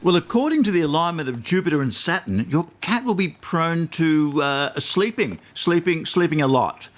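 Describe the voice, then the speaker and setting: A man's voice: fairly high pitch, lively intonation, normal speed, close to an everyday voice. A man playing a smug, bluffing phone astrologer in a comedy podcast sketch, with hesitant, improvised salesman patter. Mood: bluffing, smooth. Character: bluffing male phone astrologer, smugly mystical con man.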